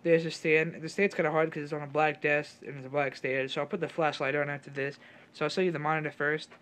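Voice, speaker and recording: A young man with a slightly raspy voice from being sick, casually talking through an unboxing at his desk with lots of fillers and self-corrections. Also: fairly high pitch, flat delivery, fast talker, a bit bright. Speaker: tech unboxing vlogger. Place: home room at a desk.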